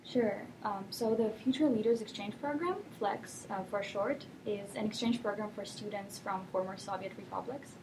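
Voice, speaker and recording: A young woman from Russia, whose first language is Russian, answering interview questions thoughtfully with many pauses and fillers. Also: medium pitch, noisy background. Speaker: guest.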